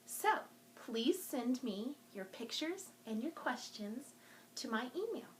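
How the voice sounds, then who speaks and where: Slow-paced, high-voiced woman hosting children's storytime. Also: sing-song, slight background noise, low-quality recording. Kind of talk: talking to young children in a craft and show-and-tell video.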